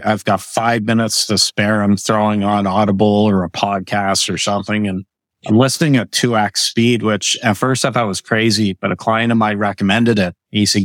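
A man speaking as a guest on a podcast, relaxed and easygoing, laughing at himself as he chats. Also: lowish voice, dry recording. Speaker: podcast guest, business coach. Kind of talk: casual interview chat.

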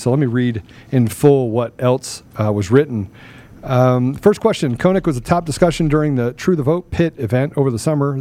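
A man speaks as the host of a live talk show, in a voice of average pitch. His delivery is casual, and he tends to repeat phrases to drive a point home. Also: warm tone. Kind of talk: casual live talk, sometimes reading questions aloud.

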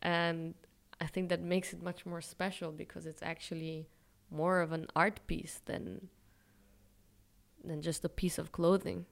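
A woman's voice: on the low side, little pitch movement, unhurried, vocal fry. A young woman from Israel, answering interview questions in a thoughtful, candid way with some fillers and light self-deprecating laughs. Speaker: interviewee, fashion brand founder.

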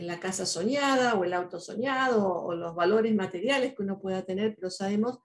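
A woman's voice: mid-range voice, a little mellow. A woman whose first language is Spanish, speaking earnestly in a reflective podcast conversation, often adding "¿no?" and "eh". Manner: earnest, speaks with many "¿no?" tags and "eh" fillers.

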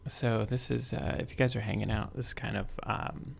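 A man chatting softly and casually on a live stream while making music, rambling with lots of fillers. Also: steady tone, moderate pace, vocal fry, breathy edge. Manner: soft, low-key, rambling with many fillers.

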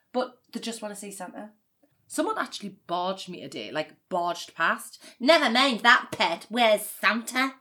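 A woman's voice: a little high, fairly bright tone. An actress playing a cynical, grieving woman, in a naturalistic audio drama. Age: 30s. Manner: sardonic, exasperated. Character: cynical, grieving 37-year-old woman working as an elf.

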